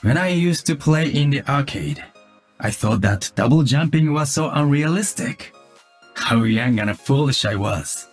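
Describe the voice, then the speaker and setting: Husky, solemn cyborg ninja. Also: male voice, medium pitch. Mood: solemn, deadpan. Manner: measured, deadpan delivery. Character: calm, solemn cyborg ninja man, dryly earnest. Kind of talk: video game character voice lines. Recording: music behind the voice.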